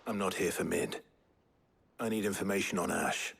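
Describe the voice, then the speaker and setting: An actor playing a determined, brooding warrior leader in a video game, with a British accent and a terse, grave manner. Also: male voice, low voice, steady tone, moderate pace, fairly bright tone, heavily acted. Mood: grave resolve. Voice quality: husky, gravelly. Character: determined, brooding male warrior leader, reckless but steady. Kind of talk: video game cutscene acting.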